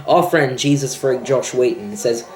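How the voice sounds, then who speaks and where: A man's voice: medium pitch, normal speed, a little mellow. A young man ranting about a film in a sarcastic, profane, exasperated way. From Australia. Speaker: vlogger. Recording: echoey room.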